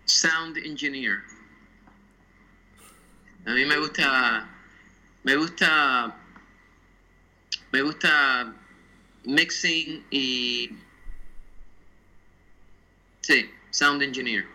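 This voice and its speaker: A man's voice: mid-range voice, drawn-out words, long pauses, sharp tone, poor audio. A man in his sixties from Cuba, a guest designer talking thoughtfully and dropping English words into his Spanish. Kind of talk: casual interview talk.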